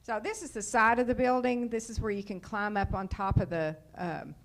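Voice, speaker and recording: A woman's voice: mid-range voice, moderate pace. A woman presenting a building project at a public meeting in a chatty, folksy way. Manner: chatty, folksy, repeats herself. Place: city council meeting room.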